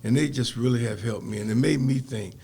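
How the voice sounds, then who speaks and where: A man's voice: mid-range voice, brisk, vocal fry, dark tone, breathy edge. An older man, speaking earnestly and reflectively as a podcast interview guest. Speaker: guest, community organizer.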